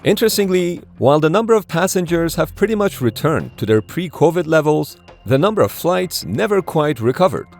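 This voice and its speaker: A man narrating an explainer in a scripted documentary style, with a measured, explanatory delivery. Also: fairly high pitch, expressive, gravelly. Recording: background music under voice. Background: music.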